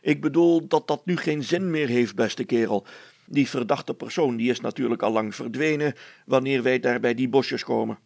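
A man's voice: medium pitch, normal speed, vocal fry, a little mellow, no echo, close to an everyday voice. A man voicing a pompous, self-important village schoolmaster who plays detective in a storybook, declaiming in formal words. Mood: lecturing.

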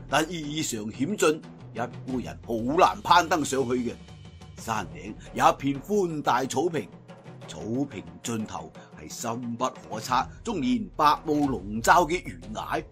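A man's voice: mid-range voice, a bit bright. A man with a Cantonese accent narrating martial-arts tales as a traditional storyteller, theatrical and emphatic at sentence endings.

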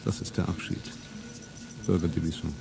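An actor playing a grim, defiant rebel man, declaiming gravely and solemnly in a radio play. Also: sits low, flat delivery, normal speed, noisy background. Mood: defiant, bitter. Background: music.

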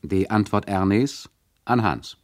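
An actor playing an ironic, chatty radio play narrator who lectures the listeners in a mock-didactic, hesitant way. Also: male voice, lowish voice, animated, takes it slowly, no echo, studio quality. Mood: wry.

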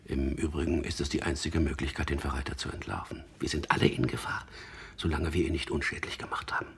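A very deep, husky and creaky male voice plays a resolute man on a secret mission in a radio drama. He recounts things slowly to himself, introspective and grim, often sinking toward a whisper. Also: fairly even intonation, full character voice. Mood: reassuring, resolute. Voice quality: gravelly, husky, hushed. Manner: deliberate, introspective, recounting events. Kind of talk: German radio drama, first-person narration and dialogue.

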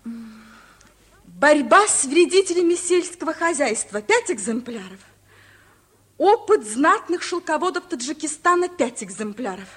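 A woman's voice: sits high, sing-song, measured pace, fairly bright tone, exaggerated voice. An actress playing a carefree, chattering eighteen-year-old village girl in a 1950s radio comedy, light and theatrical. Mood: confident dictating. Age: young adult.

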